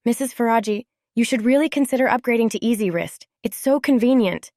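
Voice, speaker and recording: A woman's voice: fairly high pitch, brisk, warm tone, no room sound, studio quality, lightly acted. A woman playing a polite, earnest teenage girl in an audio drama, with a youthful manner. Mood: friendly, polite. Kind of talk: audio drama character acting.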